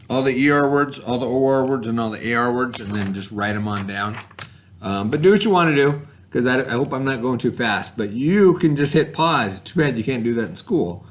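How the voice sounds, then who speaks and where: A man's voice: medium pitch, fairly bright tone, a little hiss or hum. A man working as an elementary teacher, patiently leading a spelling lesson for children with questions and sounded-out letters. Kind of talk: read-along spelling lesson for children at home.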